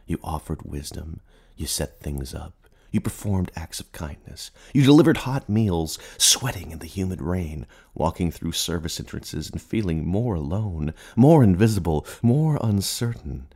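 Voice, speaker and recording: A very deep, husky male narrator, acted by a man in a radio play. He is merciless and accusing, delivering literary second-person lines with theatrical emphasis and big swings in pitch. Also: animated, a little slow, a little mellow, exaggerated voice. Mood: bleak, dramatic. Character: merciless, taunting inner narrator addressing a fading middle-aged man.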